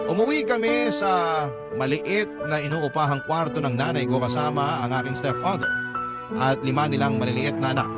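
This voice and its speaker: A Filipino man narrating a first-person confessional story in a scripted, dramatic radio drama style. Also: fairly high pitch. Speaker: narrator reading a letter sender's story. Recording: loud music behind the voice.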